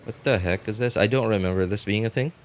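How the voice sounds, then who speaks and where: A man's voice: fairly low pitch, fast, soft background music. A man chatting casually as a game streamer, thinking aloud in a rambling, repetitive way. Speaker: game livestreamer. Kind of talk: casual livestream chat.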